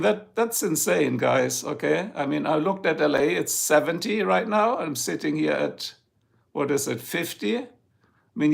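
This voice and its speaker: An older man with a German accent hosts a loose, joking live chat, drifting between topics and greeting his viewers. His voice is heavily gravelly, with plenty of fry, and it sits a little higher than most men's. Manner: rambling, jokey, chatting with viewers. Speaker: live stream host. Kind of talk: casual chat. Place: home, in Ohio.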